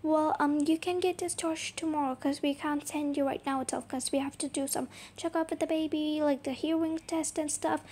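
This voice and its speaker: A young woman voicing a brisk, kindly female hospital doctor in an amateur roleplay. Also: high voice, fairly even intonation, darkish tone, a little hiss or hum, close to an everyday voice. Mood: matter-of-fact. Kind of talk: amateur voiced toy-app roleplay.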